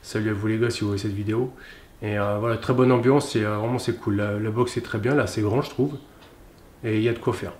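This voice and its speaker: A man gives a relaxed, rambling recap of his workout in a gym, with many filler pauses. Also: fairly low pitch, roomy sound. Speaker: fitness vlogger. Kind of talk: casual workout vlog recap.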